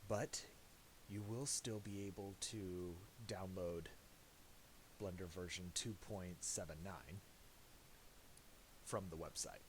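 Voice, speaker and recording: A man narrating a casual software tutorial, thinking aloud in a halting way. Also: sits low, fairly even intonation, low-quality recording. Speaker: tutorial presenter. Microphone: voice-over for a screen recording. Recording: hissy amateur voice-over.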